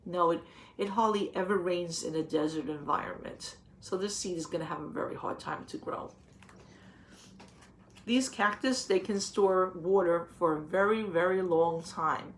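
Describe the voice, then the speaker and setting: A woman's voice: fairly low pitch, moderate pace, slight background noise. A woman teacher reading a picture book aloud to young children, patiently explaining in simple words and putting questions to the listeners.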